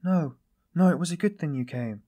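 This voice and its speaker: A man's voice: medium pitch, expressive, normal speed, darkish tone, voice pushed up, close to an everyday voice. A man voicing a distraught, timid young woman in audiobook dialogue, naive and full of anguished exclamation. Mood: earnest, insistent.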